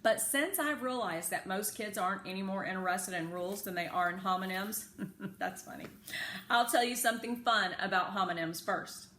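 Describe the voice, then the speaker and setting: A woman reading a children's story aloud in an expressive, careful, teacherly way with chatty asides. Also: mid-range voice, normal speed. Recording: echoey room with faint background noise.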